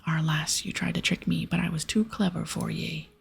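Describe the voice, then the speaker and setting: A woman voicing a boastful male pirate captain from a game in a breathy whisper, with piratical "arr" and "ye" dialect. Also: fairly low pitch, steady tone, normal speed, faint music behind, full character voice. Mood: sly, scolding. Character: gruff, boastful old male pirate captain, fond of rum. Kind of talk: reading game dialogue aloud in ASMR.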